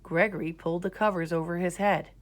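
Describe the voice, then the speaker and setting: A woman's voice: lowish voice, steady tone, fast talker. A woman reading a children's picture book aloud as a storyteller. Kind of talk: scripted children's story narration.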